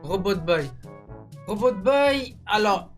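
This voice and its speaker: A man's voice: high-pitched, expressive, slow pace, soft background music. A man co-hosting a video, ranking cartoons in casual, slangy, enthusiastic banter. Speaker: co-host of a video channel.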